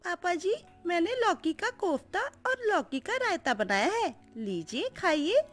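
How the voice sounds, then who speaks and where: A woman's voice: very high-pitched, big pitch swings, a little slow, faint music behind, voice pushed up, full character voice. A woman voicing a cheeky, stubborn young daughter-in-law in a cartoon story, talking in a sweet, girlish, wheedling way. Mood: sweetly stubborn.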